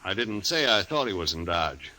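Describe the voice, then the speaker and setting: An actor playing a prickly, self-righteous cattlemen's agent, stuffy and insistent, in an old-time radio western. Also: male voice, fairly low pitch, sing-song, moderate pace, sharp tone, a little hiss or hum. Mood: officious, flustered. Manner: insistent, stuffy, flustered when challenged.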